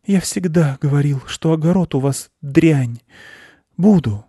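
A man voicing a small, proud, frightened but plucky storybook hare in a shrill, dramatic, boastful manner. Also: unhurried, mellow, breathy edge, dry recording, studio quality. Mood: faint, proud.

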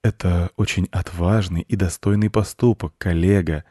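A man's voice: deep voice, fairly even intonation, normal speed, dark tone, husky, no room sound, clean studio sound. A man voicing a suave, sleek house cat in an animal story, with courtly, formal and apologetic manners. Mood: dignified, polite. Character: suave, sleek, over-polite Siamese-type house cat.

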